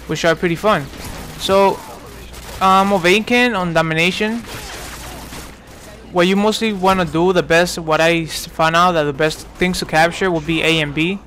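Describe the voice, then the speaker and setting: A man's voice: high voice, steady tone, moderate pace, sharp tone, a little hiss or hum. A man in his twenties from New York giving casual, rambling commentary over gameplay, full of fillers and restarts. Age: 20s. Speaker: gaming commentator.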